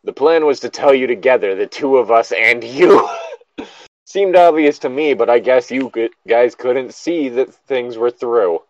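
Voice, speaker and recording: A man's voice: mid-range voice, expressive, a little slow, darkish tone, dry recording. Exasperated man, dry muffled mic. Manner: exasperated, blunt, profane. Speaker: co-host reading a story aloud with running commentary. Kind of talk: casual riffing read-aloud.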